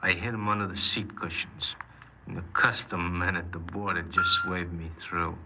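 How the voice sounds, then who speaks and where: A man's voice: low voice, flat delivery, moderate pace. An actor playing a cold, embittered young man confessing his crimes in a 1950s radio crime drama, speaking tersely and guardedly. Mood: halting confession. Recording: noisy, muffled 1950s radio broadcast.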